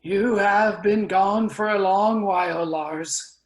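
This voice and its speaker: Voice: mid-range voice, takes it slowly, lightly acted. An actor playing a grave, embittered elder spokesman in a dramatic reading, with declamatory stage delivery. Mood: sorrowful. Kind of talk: dramatic virtual play reading. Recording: video call reading, uneven sound.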